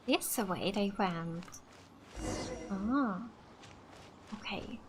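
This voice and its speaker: A woman's voice: medium pitch, extremely slow, noisy background. A woman from Finland hosting a casual let's play, thinking aloud and reading game text without hurry. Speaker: gaming video host.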